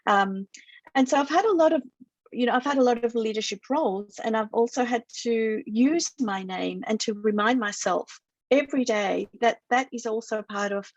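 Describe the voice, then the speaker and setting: A woman's voice: fairly high pitch, normal speed, no echo, lo-fi sound. Earnest woman on a dry call. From Chile. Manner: earnest, reflective, repeats words while thinking. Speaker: guest speaker and researcher. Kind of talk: conference talk and chat on a video call.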